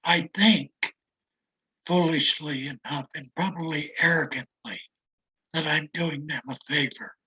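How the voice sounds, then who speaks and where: A retired older man with a raspy, damaged voice, speaking slowly and reflectively as an interview guest, with a self-deprecating manner. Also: a little high, bright, forceful tone, rough recording. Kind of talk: casual conversation.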